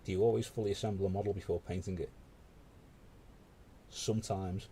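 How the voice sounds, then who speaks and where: A man's voice: low voice, steady tone, fairly quick, dark tone, slight background noise. A British man hosting a paint-along stream, chatting casually with rambling asides. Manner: rambling, conversational, full of asides. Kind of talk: casual chat while painting.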